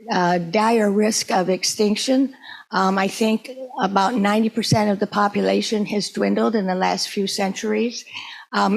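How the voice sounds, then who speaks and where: Female community presenter, mid-range voice, conversational and slightly halting educational delivery. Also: medium pitch, low-quality recording. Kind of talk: informal educational talk to a group.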